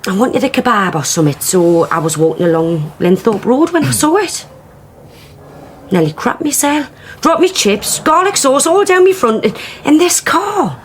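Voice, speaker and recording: A brash working-class witness in a radio play, her Teesside voice sitting fairly high and swinging up and down from line to line. She is blunt, coarse and quick to take offence. A faint hiss sits under her voice. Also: big pitch swings. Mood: excited. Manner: blunt, coarse, defensive, mouthy. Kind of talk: radio drama acting.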